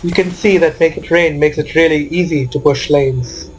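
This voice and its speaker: Higher-voiced man doing casual game commentary. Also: fairly even intonation, normal speed, rough recording. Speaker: gamer commentating on own match. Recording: noticeable background noise.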